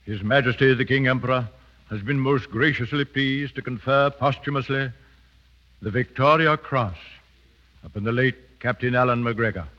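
An actor playing a dignified middle-aged British colonel in a 1930s radio drama, formal and ceremonial. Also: male voice, mid-range voice, moderate pace, fairly bright tone, natural voice. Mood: solemn. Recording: dull 1930s radio broadcast recording.

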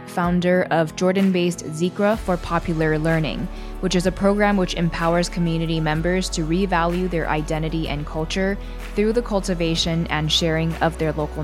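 A woman hosting a podcast, reading a measured introduction and asking clear interview questions. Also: fairly low pitch. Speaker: podcast host.

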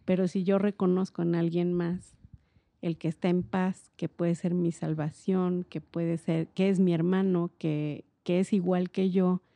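A middle-aged Mexican woman talks casually and reflectively, circling around her ideas. Her voice is gravelly and soft-edged, recorded dry and close. She explains in an informal, wandering way. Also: on the low side, level tone, measured pace, no echo. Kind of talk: casual conversational explanation on a podcast.

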